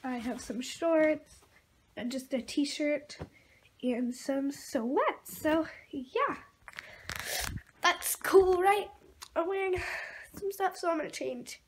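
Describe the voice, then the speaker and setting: A woman's voice: sits high, slow, pauses often. A thirteen-year-old girl chatting casually and in a rambling way, as in a home vlog. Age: 13, young teenager. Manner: rambling, informal. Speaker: vlogger. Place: rooms at home, indoors. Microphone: camera at arm's length or propped nearby. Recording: noisy handheld home recording.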